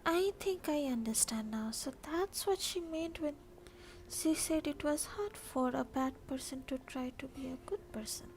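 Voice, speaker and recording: A woman streaming a game live, reading along and reacting in a soft, breathy voice. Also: high-pitched, normal speed. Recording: noisy stream audio with clicks.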